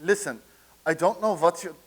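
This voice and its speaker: Brief: male actor as pompous dictator loyalist, stammering bluster, high tenor. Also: moderate pace. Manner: blustering, stammers when challenged. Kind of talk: staged reading.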